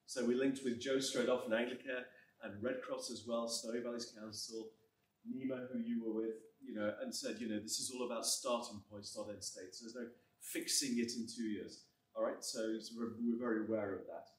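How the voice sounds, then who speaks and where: A middle-aged man hosting a university seminar talks in a brisk way, often restarting his words. His mid-range voice comes from across an echoey room, caught by a distant camera. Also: mid-range voice, fairly even intonation. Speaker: academic session host. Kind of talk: wrapping up a seminar. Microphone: far room camera.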